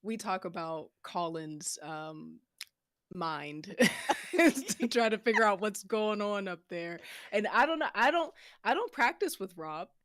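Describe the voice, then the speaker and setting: A woman's voice: mid-range voice, lively intonation, slow pace, fairly bright tone, dry recording, studio quality. A woman talking enthusiastically and emphatically in a casual group chat.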